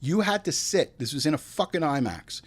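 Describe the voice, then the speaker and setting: A man's voice: a little high, lively intonation, moderate pace, breathy edge. A man hosting a movie podcast, delivering crude, profane comedic film commentary and theatrically acting out imagined dialogue. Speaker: host of a movie review podcast. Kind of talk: comedic film commentary mixing read facts with ranting asides.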